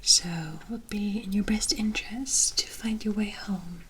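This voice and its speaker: A woman voicing a mysterious, wry forest witch in a hushed, deliberate ASMR fantasy roleplay, with cryptic storybook phrasing. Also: mid-range voice, steady tone, husky, poor audio. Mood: wry, teasing. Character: mysterious forest witch, wry, knowing, offering protection for favors.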